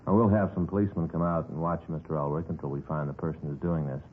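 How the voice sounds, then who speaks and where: Matter-of-fact cop, talks really fast, old radio drama, slight noise. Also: male voice, very deep voice, steady tone. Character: brisk, matter-of-fact male police detective. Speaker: actor in a radio crime drama. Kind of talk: understated 1950s radio acting. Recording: tinny, noisy vintage radio recording.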